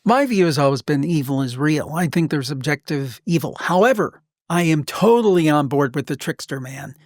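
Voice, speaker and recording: A man hosting a podcast, telling stories casually and emphatically, with deliberate pauses. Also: a little high, expressive, no echo, clean studio sound. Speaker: podcast host. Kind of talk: casual conversational storytelling.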